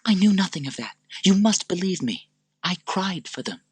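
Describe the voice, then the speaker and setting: A man voicing a fearful noblewoman, speaking in a raised voice that stays soft and pleading. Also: sits high, very animated, normal speed, breathy edge, no room sound, voice pushed up, heavily acted.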